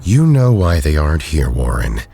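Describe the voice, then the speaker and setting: A man playing an erudite, calm, gentlemanly superhero in an audio drama, formal, measured and mildly reproving. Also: rumbling low, vocal fry, husky, exaggerated voice. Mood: soothing, firm.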